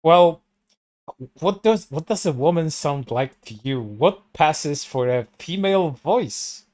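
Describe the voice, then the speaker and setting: A man's voice: on the high side, expressive, slow, a little mellow. Stammering, swearing man co-host. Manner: stammering restarts, heavy swearing, sarcastic. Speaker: co-host commenting on stream. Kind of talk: casual commentary.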